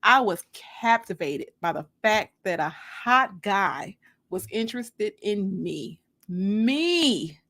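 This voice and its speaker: A woman's voice: medium pitch, big pitch swings, slow pace, sharp tone. A woman reading her own novel aloud as a dramatic first-person audiobook narration.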